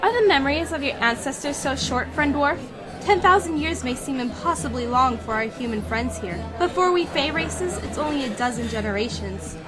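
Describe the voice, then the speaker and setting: A woman acts out a proud elven sorceress in a fantasy audio drama. She has a high voice and a lofty, chiding manner, with music behind. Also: animated, moderate pace.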